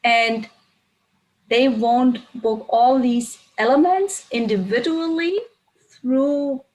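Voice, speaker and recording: A woman's voice: on the high side, takes it slowly, a little mellow, poor audio. Woman explaining earnestly. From Germany. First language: German. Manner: earnest, explanatory, stresses key points. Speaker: tour operator on a panel. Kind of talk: panel discussion.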